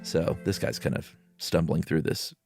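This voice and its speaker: A man's voice: a bass voice, moderate pace, vocal fry, darkish tone, dry recording, soft background music. A man doing a dramatic story reading, with casual conversational asides. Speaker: co-host reading horror stories aloud.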